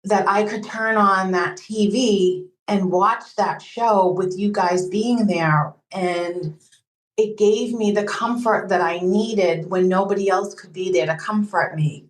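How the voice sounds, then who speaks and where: A woman's voice: mid-range voice, slow, echoey room, rough recording. A woman guest on a podcast, casually sharing her own experiences as a fan. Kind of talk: casual personal storytelling.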